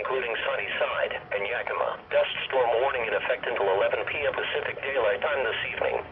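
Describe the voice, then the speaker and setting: Synthetic male announcer on tinny, staticky radio. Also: medium pitch. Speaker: automated weather radio announcer. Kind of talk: formal scripted weather bulletin reading. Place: weather radio broadcast. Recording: tinny narrow-band radio with loud static.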